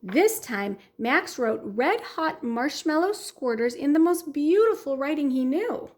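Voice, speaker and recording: A woman hosting a children's story time and reading a picture book aloud in an expressive way. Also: sits high, unhurried. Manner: warm, expressive storytelling for kids.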